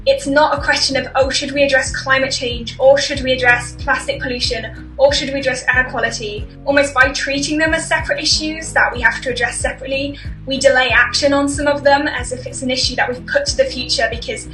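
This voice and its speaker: A young woman with a high, bright voice speaks in an upbeat, articulate way. She sounds a bit far from the mic in a roomy, echoing space, with light music behind. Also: fairly even intonation, a little fast. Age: young adult. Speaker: environmental charity co-founder. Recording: distant, echoey mic.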